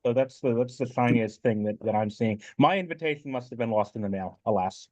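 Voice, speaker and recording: A man chatting casually on a video call, halting and repeating words, with dry humor. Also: mid-range voice, dry recording. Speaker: meeting participant. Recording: dull lo-fi video call audio.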